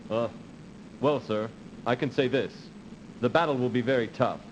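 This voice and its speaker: An actor playing a dutiful, cautious officer who gives formal, measured briefings in a video game cut-scene. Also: male voice, mid-range voice, lightly acted. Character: dutiful, cautious male officer briefing his space commander. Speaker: military adviser in a video game. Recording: dull, noisy old CD game audio.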